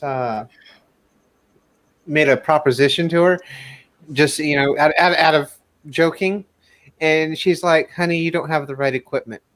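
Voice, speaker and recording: A man telling casual anecdotes in a group chat, halting and hesitant with frequent pauses. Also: a little high, a bit bright. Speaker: panelist on a livestream discussion.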